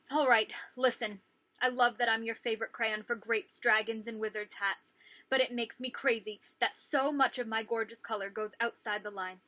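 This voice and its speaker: A woman's voice: fairly high pitch, a bit bright, lightly acted. A woman voicing a fussy, neat purple crayon, prim and exasperated, in a picture book read-aloud.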